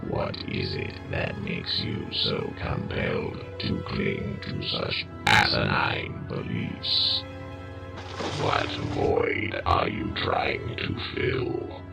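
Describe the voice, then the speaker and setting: A man voicing an arrogant mercenary battle droid in a gravelly robotic growl, slow, cold and sardonic, in a comic dub. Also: extremely low pitch, little pitch movement, full character voice. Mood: contemptuous. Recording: music behind the voice.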